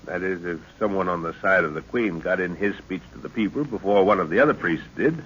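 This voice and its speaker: A man acting a commanding, middle-aged expedition leader in an old-time radio serial, measured and authoritative. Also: low voice, a bit bright. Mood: decisive. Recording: muffled, noisy old radio recording.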